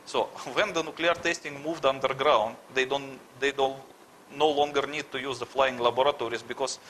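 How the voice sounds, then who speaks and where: A middle-aged man from Russia with a Russian accent, answering interview questions haltingly and searching for words. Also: mid-range voice, steady tone, a bit bright, slight background noise.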